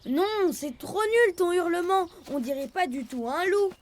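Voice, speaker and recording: A woman's voice: very high voice, animated, a little slow, heavy background noise. A woman voicing an eager, bossy young male leopard cub in a children's cartoon, playful and insistent. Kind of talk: animated children's cartoon acting. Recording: music behind the voice.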